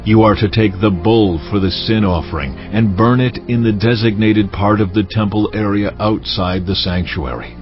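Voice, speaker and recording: A man playing God in a dramatized scripture reading, speaking solemnly and deliberately with majestic authority. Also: lowish voice, natural voice. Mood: solemn, commanding. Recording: slightly muffled audio over background music. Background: music.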